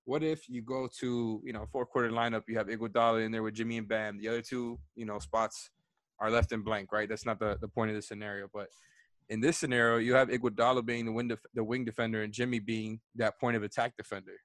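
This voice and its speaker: A man's voice: lowish voice, flat delivery, quick pace, fairly bright tone, no echo. A man co-hosting a podcast, chatting about basketball lineups, thinking aloud with many restarts. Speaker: podcast co-host. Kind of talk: casual sports chat.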